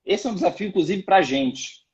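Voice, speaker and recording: Male guest, slightly high voice, halting and full of restarts, muffled call audio. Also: animated, a little mellow. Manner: hesitant, many restarts and fillers. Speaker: guest, financial education project member. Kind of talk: live online interview.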